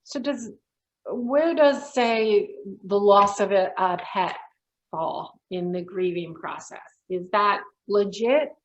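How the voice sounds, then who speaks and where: A woman's voice: medium pitch, takes it slowly, muffled recording. A woman interviewer asking casual, probing questions with hesitant restarts. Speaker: interviewer, organization director.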